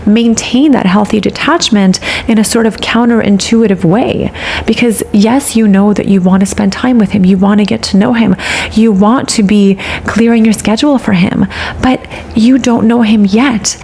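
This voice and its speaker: A woman with a stuffy, congested voice hosts a dating advice podcast, coaching her listeners with steady encouragement. Her mid-height voice carries a gravelly, fry-tinged edge, and a faint hiss sits under the recording. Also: medium pitch. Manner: encouraging, coaching tone with repeated phrases. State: stuffy from a cold. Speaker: podcast host.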